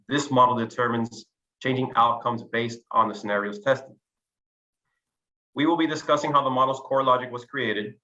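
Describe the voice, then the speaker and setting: Bright-voiced man presenting, slightly muffled recording. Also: on the low side. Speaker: presenter introducing a colleague. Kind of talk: scripted technical presentation.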